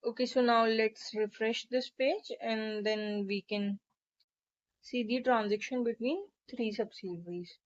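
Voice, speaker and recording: A woman with a fairly high voice talks through an on-screen process step by step. Her delivery is instructional and explanatory, as a tutorial presenter. The recording is slightly dull and lo-fi. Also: steady tone, a little slow. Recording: dull, lo-fi microphone sound.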